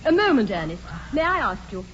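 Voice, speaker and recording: An actress playing a prim, sweetly combative young British ingénue, precise and emphatic, in 1940s radio comedy style. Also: female voice, sits high, big pitch swings, a little fast. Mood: pointed. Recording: muffled, noisy 1940s radio broadcast.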